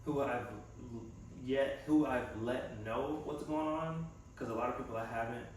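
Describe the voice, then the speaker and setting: A man's voice: mid-range voice, a little slow. A young man talking casually in a personal vlog, reflective and rambling as he thinks aloud in an echoey room. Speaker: vlogger and physical therapy assistant student. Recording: echoey room from a distance, faint noise.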